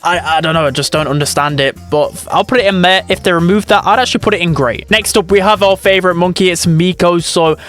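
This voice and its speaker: A man's voice: sits high, fast, few pauses, fairly bright tone, faint music behind. A man hosting a casual, opinionated ranking commentary in a chatty, informal way with exaggerated opinions. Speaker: video creator and host.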